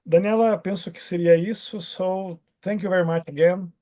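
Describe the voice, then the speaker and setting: A man moderating an online event, opening with housekeeping remarks and pausing often with fillers and restarts. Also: high voice, fairly even intonation, dark tone. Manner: hesitant, many fillers and restarts.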